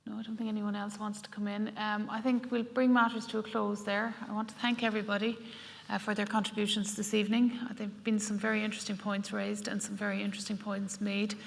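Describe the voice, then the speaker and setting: A woman chairing a public meeting, briskly steering the speakers. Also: mid-range voice, level tone, gravelly. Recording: long hall reverb.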